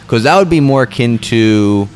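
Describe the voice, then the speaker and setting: A man's voice: medium pitch, moderate pace. A man co-hosting a podcast, chatting casually and explaining his views at length. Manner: relaxed, explains at length, some restarts. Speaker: podcast co-host. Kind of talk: casual chat.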